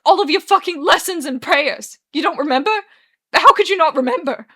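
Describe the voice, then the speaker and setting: A woman's voice: on the high side, huge pitch swings, fairly quick, vocal fry, pushed and bright, slightly rough, exaggerated voice. A woman voicing an anguished, furious young man in a dramatic story, with explosive, wailing outbursts and cursing. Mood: accusing.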